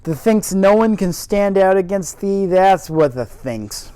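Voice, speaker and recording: A man putting on a gruff old Yorkshire gardener. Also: sits high, lively intonation, unhurried, dark tone, no echo, voice pushed up. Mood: grudging. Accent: put-on rough Yorkshire. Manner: gruff, grumbling dialect speech. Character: cross, sturdy old male gardener.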